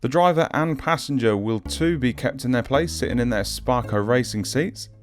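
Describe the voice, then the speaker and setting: A man's voice: medium pitch, normal speed. A man giving a scripted voice-over as the host of a hobby showcase. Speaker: host of a scale-model showcase series. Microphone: handheld audio recorder. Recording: music under the voice. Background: guitar music.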